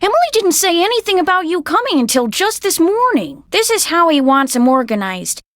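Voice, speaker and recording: A high-pitched American woman plays a sharp-tongued, middle-aged innkeeper from an old-time mystery game. She talks in folksy period slang with an exasperated, theatrical edge. The sound is very dry, with no room around the voice. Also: big pitch swings, fairly quick, no echo. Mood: resigned, worried. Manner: folksy, wisecracking, exasperated, dramatic. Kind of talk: video game character dialogue with old-fashioned slang.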